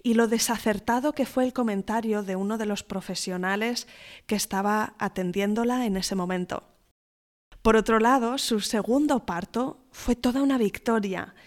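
A woman hosting a podcast, welcoming and interviewing her guest. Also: mid-range voice. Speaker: podcast host.

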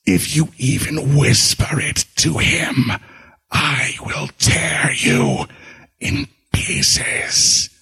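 A man reading a storybook, voicing a vengeful female ghost with a slow, menacing, hissing whisper. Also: a little high, animated, creaky, bright, forceful tone, slightly rough, heavily acted.

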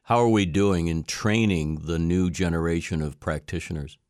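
A male interviewer with a very low, deep voice asks conversational, leading questions. The sound is dry and close to the mic. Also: fairly even intonation, moderate pace, darkish tone, no echo.

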